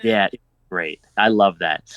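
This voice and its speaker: A man in a casual retrospective chat, talking in an effusive and expressive way. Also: deep voice, measured pace, no room sound, muffled recording. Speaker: audio drama narrator on a panel.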